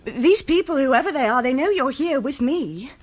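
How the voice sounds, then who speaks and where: Radio thriller female lead: a caring, anxious partner, high and animated, pressing earnestly for answers. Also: normal speed. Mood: pleading, worried. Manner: earnest, pleading, pressing with questions. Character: anxious, caring, insistent girlfriend of a hunted man.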